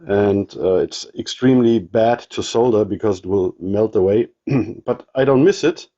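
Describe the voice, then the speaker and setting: A man with a German accent showing his radio gear in a casual walkthrough, halting with many ums and restarts. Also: lowish voice, mellow, muffled recording. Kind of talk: casual show-and-tell walkthrough.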